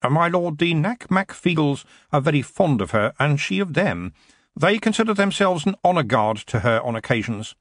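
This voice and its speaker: A man's voice: fairly high pitch, lively intonation, normal speed, dry recording, clean studio sound, voice pushed up. A man voicing a fussy, deferential clerk in an audiobook, primly precise, polite and faintly disdainful. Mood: earnest, deferential.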